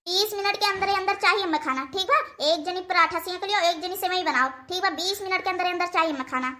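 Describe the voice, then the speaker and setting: Bossy old Awadhi matriarch played by a woman, very high bright voice, hectoring cartoon scold. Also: quick pace. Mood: domineering. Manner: hectoring, repeating tags as threats. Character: bossy, scolding old village mother-in-law. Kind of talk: cartoon dialogue.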